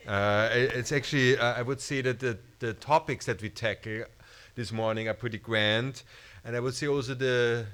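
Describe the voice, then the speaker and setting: A man's voice: lowish voice, fairly bright tone, clear, resonant tone. A middle-aged man with an Austrian German accent, a museum director giving a formal welcome speech on an auditorium stage at a lectern microphone, often restarting and hesitating. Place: auditorium stage under bright lights.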